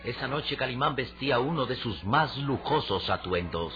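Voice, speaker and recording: A man's voice: mid-range voice, expressive, moderate pace. A man narrating a radio adventure serial in a dramatic, declamatory, suspenseful scripted style. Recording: dull old radio recording with music.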